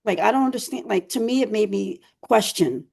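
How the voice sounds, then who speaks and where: A woman co-hosting a podcast in a casual, rambling chat, her voice going hoarse as she keeps clearing her throat. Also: medium pitch, animated, a little fast, a little mellow. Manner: chatty, rambling, many fillers. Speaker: podcast co-host.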